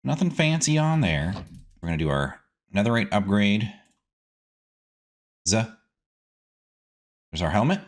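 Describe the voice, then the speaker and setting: A man's voice: lowish voice, lively intonation, unhurried, pauses often. A man hosting a casual gaming video, chatting to himself playfully as he plays, with silly words and sing-song asides. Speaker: gaming host. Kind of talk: casual game commentary, let's play.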